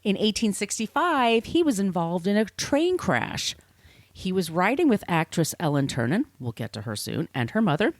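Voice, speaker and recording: Woman podcaster, conversational storyteller, very animated intonation, irreverent, dry studio sound. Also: medium pitch, moderate pace, no echo. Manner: irreverent, casually sweary. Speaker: podcast host. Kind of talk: conversational storytelling from researched notes.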